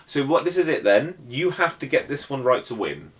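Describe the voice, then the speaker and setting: A man's voice: medium pitch, sing-song, moderate pace. A man co-hosting a podcast in casual, sweary, blokey banter with a quiz feel. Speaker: podcast co-host.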